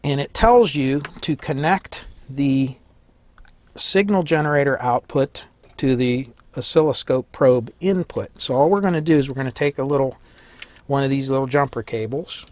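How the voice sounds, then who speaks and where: A man with a slightly hoarse voice giving a casual hands-on electronics tutorial, thinking aloud and correcting himself as he works. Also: medium pitch, normal speed, darkish tone, dry recording. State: slightly hoarse, losing his voice. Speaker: electronics hobbyist demonstrating a kit.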